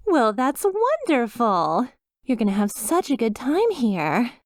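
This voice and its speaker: A woman's voice: fairly high pitch, huge pitch swings, takes it slowly, no room sound, studio quality, exaggerated voice. A woman voicing a chipper, sweetly reassuring demon resort receptionist with a polished, over-polite customer service voice in a scripted ASMR roleplay. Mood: bright, welcoming.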